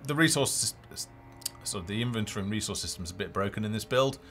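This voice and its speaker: A man giving casual, low-key live commentary as a game developer, explaining features as he plays. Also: animated, fast, fairly bright tone, a little hiss or hum. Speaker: game developer presenting a livestream. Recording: music behind the voice.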